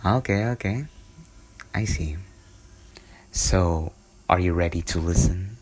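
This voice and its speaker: A man speaking softly and intimately in a soothing ASMR bedtime style, sometimes dropping into a whisper. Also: low voice, takes it slowly, husky, low-quality recording. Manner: soft, intimate, soothing delivery, partly whispered. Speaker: ASMR storyteller. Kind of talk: ASMR bedtime roleplay and storybook reading.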